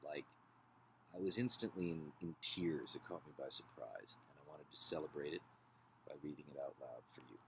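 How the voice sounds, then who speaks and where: A man's voice: extremely low pitch, normal speed, creaky, noisy background. A man casually introducing a book passage he is about to read aloud. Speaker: amateur reader.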